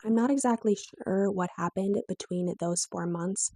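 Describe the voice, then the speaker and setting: A woman's voice: medium pitch, moderate pace, dark tone, no room sound, studio quality, faint music behind. A woman in her twenties with a weak, strained, breaking voice, telling her own story to camera in a candid, lightly self-mocking way. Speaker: vlogger.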